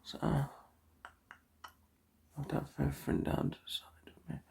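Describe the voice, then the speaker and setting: A soft-spoken, often whispering person doing an ASMR eye exam roleplay, chatting gently and politely without hurry, close to the microphone. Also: medium pitch, monotone, gravelly, slightly rough. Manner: gentle, unhurried, polite chatty bedside patter. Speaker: ASMR performer playing an eye doctor.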